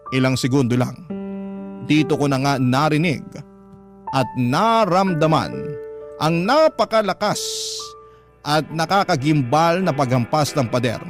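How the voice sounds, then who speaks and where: A Filipino man reads a first-person story for radio drama. His pitch is a little high and the delivery is dramatic and scripted. Music plays clearly behind him. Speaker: radio drama narrator reading a letter sender's story. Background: dramatic background music.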